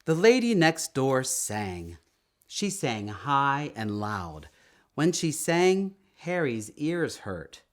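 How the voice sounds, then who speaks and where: A man reading a children's story aloud in an expressive, emphatic storytelling manner, drawing out words. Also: medium pitch, slow. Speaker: storytime reader.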